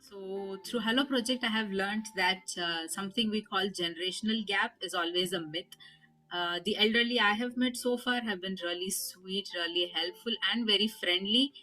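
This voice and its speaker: A woman's voice: mid-range voice, normal speed, pushed and bright, soft background music, rough recording. A young woman from India with an Indian accent, a doctor giving an earnest personal testimony with frequent fillers. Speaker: doctor, project participant.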